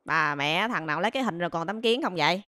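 A woman's voice: lowish voice, animated, quick pace, pushed and bright, slight background noise. A woman voicing a small, mischievous cartoon rabbit, cheeky and nonchalant, with a southern Vietnamese accent. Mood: exasperated. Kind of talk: animated comedy dialogue. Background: music.

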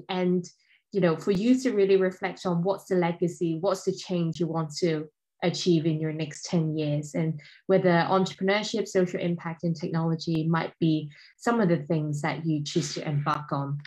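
A woman's voice: fairly low pitch, low-quality recording. A woman in her thirties from Hong Kong, giving a casual talk with slides over a video call, with frequent ums and restarts. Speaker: guest speaker.

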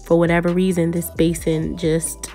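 A woman's voice: lowish voice, completely flat delivery, normal speed, warm tone, soft background music. A young woman chatting casually as she narrates cleaning her kitchen, with light laughs. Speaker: vlogger.

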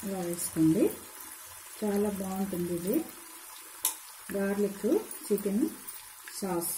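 An older woman giving homely, unhurried step-by-step instructions at her kitchen stove. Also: mid-range voice, steady tone, dark tone, slight background noise. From India. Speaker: home cook. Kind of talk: cooking demonstration voice-over. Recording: echoey kitchen with sizzling pan. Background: frying and sizzling.

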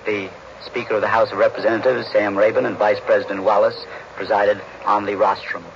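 A man's voice: fairly low pitch, little pitch movement, brisk, vocal fry, lo-fi sound, a little hiss or hum. A man with an American accent delivering 1940s radio news commentary as an analyst, on an old broadcast recording.

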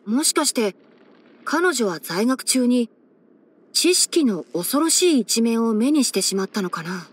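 A woman's voice: fairly high pitch, very animated, measured pace, breathy edge, full character voice. Composed, scholarly young male forest ranger. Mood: reflective. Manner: composed, articulate, mildly wry, mentor-like explaining. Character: young male fox-eared forest ranger, scholarly, sensible, dryly caring. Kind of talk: scripted video game character voice-over.

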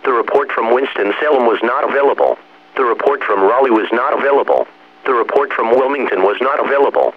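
A man's voice: medium pitch, quick pace, slight background noise. A synthetic male voice reading a formal weather bulletin over radio. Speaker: automated weather radio reader. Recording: dull, hummy weather radio reception.

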